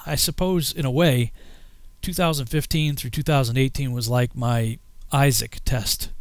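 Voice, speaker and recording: A man narrating his own scripted video as a filmmaker, with measured, emphatic phrasing. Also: mid-range voice, no echo. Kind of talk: scripted personal narration with a fundraising appeal.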